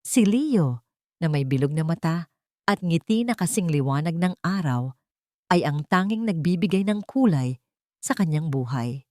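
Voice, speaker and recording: Low-pitched woman narrating a dramatic story. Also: animated, measured pace, a little mellow, no echo, studio quality.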